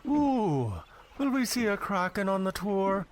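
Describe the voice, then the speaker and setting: A naive, prissy male tourist, voiced by a man gushes in a high, fussy voice. He is giddy and easily delighted, in an amateur audio drama with slight noise. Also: lively intonation, measured pace. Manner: effusive, fussy, hesitant.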